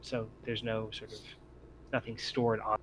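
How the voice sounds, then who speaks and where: A man acting as game master, explaining scenes and rules in a conversational, halting way. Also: on the low side, completely flat delivery. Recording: dull lo-fi mic with faint noise.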